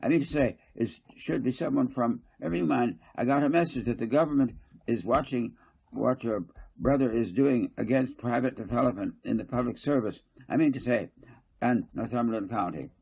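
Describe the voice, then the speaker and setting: Man performing a flustered male councillor, audiobook character, stammering, argumentative, raised pitch, raspy. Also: darkish tone, rough recording. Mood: agitated, blustering. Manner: halting, repeats himself, blustering.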